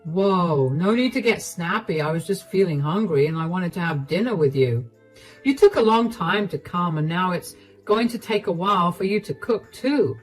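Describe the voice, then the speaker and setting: A woman's voice: on the low side, expressive, moderate pace, soft background music, close to an everyday voice, rough recording. A woman voicing a lazy, unemployed husband who is defensive and entitled, in a read-aloud story. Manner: whiny and defensive.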